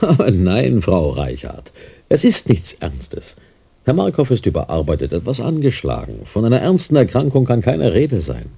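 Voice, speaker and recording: An actor playing an evasive, placating chief doctor at a clinic in a radio play, hesitant and guarded. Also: male voice, fairly low pitch, huge pitch swings, normal speed, vocal fry, dark tone, soft background music, heavily acted. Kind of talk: 1990s radio drama acting.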